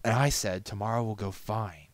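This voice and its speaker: A man's voice: lowish voice, fairly even intonation, moderate pace, no echo, pitched above the performer's own voice, lightly acted. A man voicing a proud, confident male pony glassblower in a storybook reading, earnest and assured. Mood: defensive.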